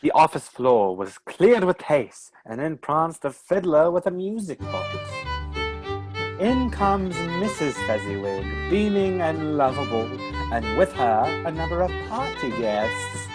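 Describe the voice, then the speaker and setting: Unhurried radio play narrator. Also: medium pitch, music in the background. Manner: unhurried, even storytelling. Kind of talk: scripted stage-direction narration.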